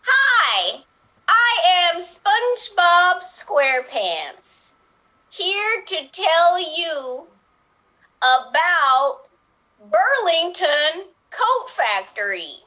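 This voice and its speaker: A woman's voice: very high-pitched, big pitch swings, drawn-out words, pushed and bright, heavily acted, low-quality recording. A woman playing a cheerful male cartoon sea sponge, chirpily listing things off one word at a time. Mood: chirpy. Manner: chirpy, rattles off a list word by word. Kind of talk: improv game on a live stream.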